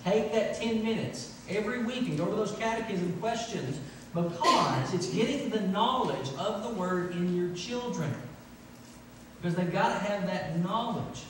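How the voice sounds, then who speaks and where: A middle-aged man preaching a sermon, emphatically repeating phrases for stress. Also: high voice. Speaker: pastor. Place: large church sanctuary, from the pulpit. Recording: echoey church hall, distant, faint noise.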